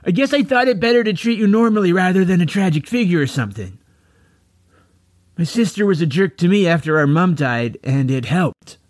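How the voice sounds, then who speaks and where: A man voicing an awkward boy bully with a high, raspy voice in a children's audiobook. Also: expressive, fairly quick, no room sound, studio quality. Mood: sheepish, tearful.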